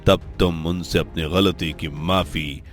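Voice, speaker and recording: A man's voice: low-pitched, fairly even intonation, takes it slowly, soft background music, pitched below the performer's own voice. A man voicing a stern holy sage with divine powers in a cartoon story, solemnly pronouncing judgement. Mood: solemn instruction. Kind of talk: Hindi cartoon story dialogue.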